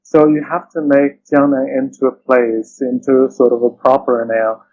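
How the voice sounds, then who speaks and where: A man's voice: medium pitch, steady tone, moderate pace, darkish tone, muffled recording, a little hiss or hum. A man speaking as an interview guest and translator, thoughtful and hesitant, often rephrasing as he reflects.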